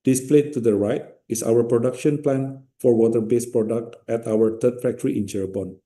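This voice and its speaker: A man with an Indonesian accent giving a formal, measured company results presentation from a script. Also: medium pitch, steady tone, dark tone.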